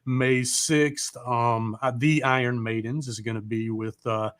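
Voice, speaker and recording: A man chats informally as a live show host in a dry, close sound. He rambles through promos, loses his thread and throws in mild swearing, all at an ordinary middle pitch. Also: level tone, unhurried, a bit bright, no room sound. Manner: informal, mildly profane, often losing his train of thought.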